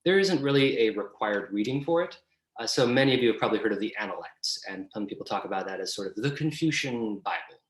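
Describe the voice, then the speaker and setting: Roomy, echoey video-call sound; male guest lecturer from the US, lowish voice, conversational explainer. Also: expressive, quick pace. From United States.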